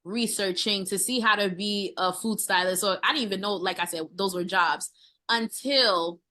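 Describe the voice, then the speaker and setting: Woman guest chef, 30s, New York accent, Haitian Creole first language, bright, rambling. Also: mid-range voice, normal speed. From Brooklyn, New York. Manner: earnest, rambling, repeats phrases. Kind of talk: casual interview talk.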